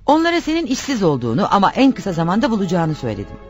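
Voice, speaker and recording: An actress playing a nagging, reproachful wife in radio theatre, scolding and insistent with a sarcastic edge. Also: female voice, mid-range voice, huge pitch swings, racing, a little mellow, faint music behind. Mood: matter-of-fact. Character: nagging, reproachful wife pushing her jobless husband.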